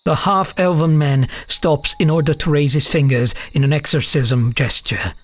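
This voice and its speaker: A man's voice: on the high side, steady tone, moderate pace. A man giving scripted narration for a fantasy audiobook, as the storyteller between characters' lines.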